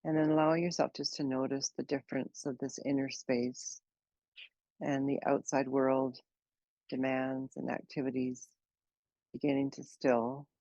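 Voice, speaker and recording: A woman leading a guided meditation, speaking slowly and gently in an unhurried way with long pauses. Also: extremely low pitch, flat delivery, no room sound, highs cut off. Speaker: meditation teacher.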